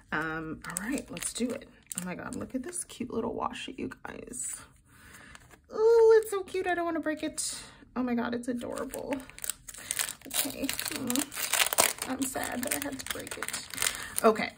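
A woman's voice: lowish voice, a bit bright, a little hiss or hum. A young woman chatting casually through a sticker haul at her desk, gushing and giggly, her voice a little froggy from the early morning. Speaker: planner vlogger.

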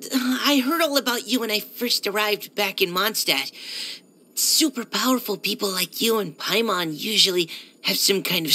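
A timid, earnest young male surveyor from a video game, speaks. The voice is bright and forward, sitting at a middling pitch for a female voice. He is courteous and a little hesitant, always keen to be useful. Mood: apologetic, shy. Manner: hesitant, soft-spoken politeness, eager to help. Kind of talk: video game character voice-over.

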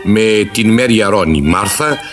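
A man's voice: lowish voice, lively intonation. A male radio announcer formally reading scripted credits. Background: loud music.

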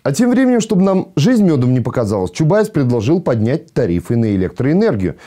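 Mellow, higher-pitched male news presenter. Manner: ironic. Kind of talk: scripted news narration with sarcastic commentary. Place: TV studio.

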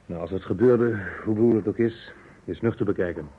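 A man's voice: on the low side, a little fast, slightly rough. An actor playing a sober, matter-of-fact man in a vintage radio play, blunt and level-headed. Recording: muffled phone-like old radio recording.

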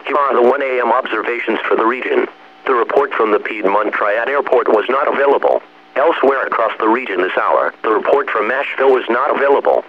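A man's voice: medium pitch, brisk. A synthetic male voice reading a formal weather bulletin over radio. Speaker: automated weather radio reader. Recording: dull, hummy weather radio reception.

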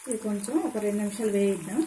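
An older woman giving homely, unhurried step-by-step instructions at her kitchen stove. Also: mid-range voice, noisy background. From India. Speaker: home cook. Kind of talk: cooking demonstration voice-over. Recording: echoey kitchen with sizzling pan. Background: frying and sizzling.

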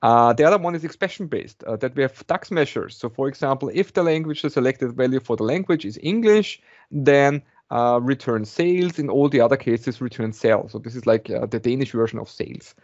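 A man's voice: medium pitch, lively intonation, a little fast, a little mellow, no echo. A man in his forties with an Austrian German accent, presenting a technical talk on a video call in a conversational way, often restarting his sentences. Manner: conversational, with frequent restarts and repeated words. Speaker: conference presenter. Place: home office, indoors. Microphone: webcam on a video call. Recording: dull, slightly lo-fi video call audio.

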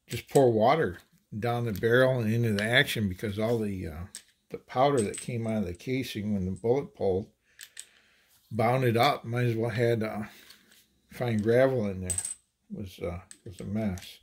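A man with a husky, breathy voice talks slowly through a hands-on demonstration at an indoor table. His delivery is halting, full of fillers and restarts, and his voice sits a little low. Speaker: handloading channel host.

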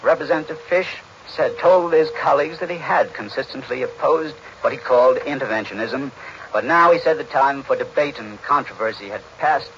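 An American man giving a measured, formal 1940s radio news report as an analyst. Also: mid-range voice, fairly bright tone. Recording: muffled, noisy 1940s radio recording.

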